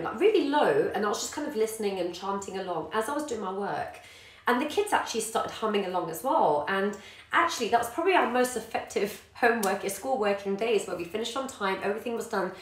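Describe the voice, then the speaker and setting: A woman, a yoga teacher and parent chatting casually to live viewers with many ums. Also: medium pitch. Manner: warm encouraging, many ums, greets viewers by name. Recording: echoey room.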